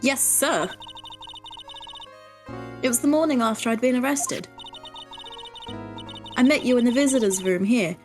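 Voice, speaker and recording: A woman's voice: on the high side, a little slow, pauses often, faint music behind, lightly acted. A woman voicing a hapless, earnest young waitress in a live fan dub of a video game, chatty, hesitant and polite.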